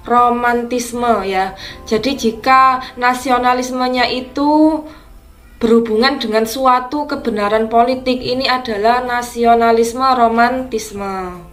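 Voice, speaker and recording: A woman teacher going through practice exam questions, explaining each answer slowly and deliberately. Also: fairly high pitch, fairly bright tone.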